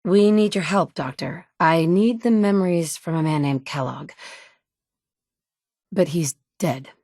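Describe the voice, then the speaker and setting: A blunt wasteland survivor. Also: female voice, on the low side, a little slow, a little mellow, no echo, clean studio sound. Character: tough wasteland survivor mother, blunt and wry. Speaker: player character voice. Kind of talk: game voice lines.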